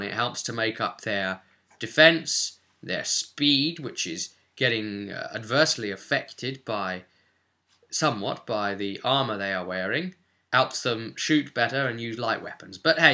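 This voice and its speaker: A man's voice: on the low side, pushed and bright. A man presenting an explanatory guide to a tabletop game, conversational but leaning hard on key words.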